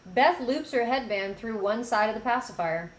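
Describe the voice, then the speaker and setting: A woman's voice: fairly high pitch, expressive, normal speed. Woman narrating screenplay in echoey room. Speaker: narrator reading stage directions. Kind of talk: scripted narration, screenplay table read. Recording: echoey room, background noise.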